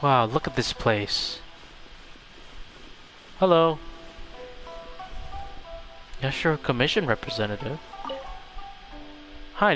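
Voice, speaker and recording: Male game streamer, low-key music behind. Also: rough recording. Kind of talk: livestream playthrough, reading dialogue aloud and reacting casually. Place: voice over video game audio.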